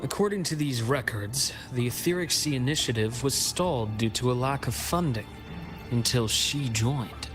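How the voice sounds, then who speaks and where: A man's voice: mid-range voice, lively intonation, music in the background, natural voice. Composed, shrewd male investigator played by an actor. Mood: composed, serious. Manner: measured, deliberate, analytical. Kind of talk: scripted video game dialogue.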